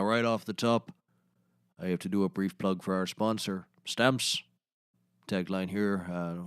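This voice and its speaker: An actor playing a gruff, deadpan outdoorsman and old sea hand in a scripted comedy audio show, with a dry storytelling manner. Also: low-pitched, no room sound. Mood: podcast hosting.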